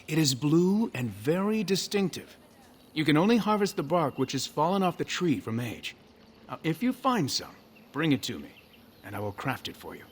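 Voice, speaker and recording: An actor playing a proud, fussy elven master craftsman in a video game, speaking formally and with measured scolding. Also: a little high, very animated, a little hiss or hum. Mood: reserved, obliging. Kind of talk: video game character dialogue.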